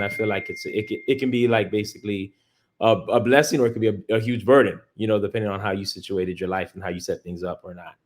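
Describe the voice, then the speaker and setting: American guy in his 30s from miami, kinda low voice, interview guest explaining stuff casually and earnestly. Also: slight background noise. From Miami, United States.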